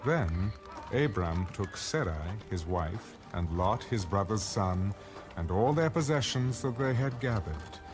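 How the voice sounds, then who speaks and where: Music plays clearly behind a man with a fairly low voice. He reads scripture as the narrator of a scripted audio Bible. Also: lively intonation, a little slow. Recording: music under the voice.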